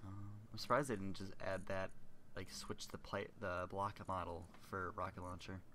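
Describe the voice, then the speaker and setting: A man's voice: deep voice, little pitch movement, normal speed, fairly bright tone, a little hiss or hum. A young man hosting casual gameplay commentary, stuffed up with a cold and rambling as he thinks aloud. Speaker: gaming channel host.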